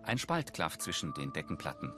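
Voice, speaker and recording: A man's voice: rumbling low, very animated, moderate pace, music in the background. A man reading scripted audio description narration for a TV film.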